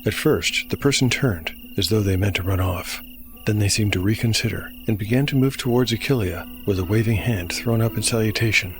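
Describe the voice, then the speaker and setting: A man with a fairly low voice serves as narrator and game master of a fantasy tale. His delivery is scripted storytelling, with music clearly heard underneath. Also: brisk. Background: music bed.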